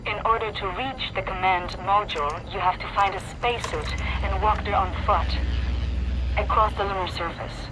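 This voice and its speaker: An actress playing an earnest woman intelligence agent in a video game, speaking in a measured, composed way. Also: medium pitch, steady tone, natural voice, rough recording. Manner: measured, informative.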